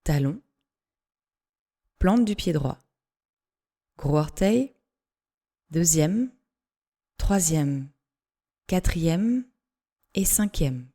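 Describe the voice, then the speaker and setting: A woman leads a guided yoga relaxation at a very slow pace. Her voice is soft-edged and mellow, sitting a little low. She gives short, measured instructions and leaves space between phrases. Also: expressive.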